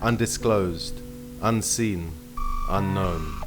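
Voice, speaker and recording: A man reading a documentary narration in measured, solemn phrases. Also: low voice. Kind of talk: scripted narration. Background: guitar music.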